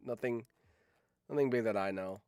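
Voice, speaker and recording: A man's voice: lowish voice, moderate pace, darkish tone, smooth, dry recording. A man hosting a livestream in a casual chat, rambling along and swearing freely. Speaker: livestream host.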